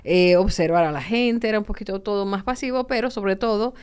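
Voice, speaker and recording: Woman, 60s, podcast host; smooth, resonant voice, hesitant delivery. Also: mid-range voice, brisk, a little mellow. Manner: hesitant, many filler sounds. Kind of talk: interviewing guests.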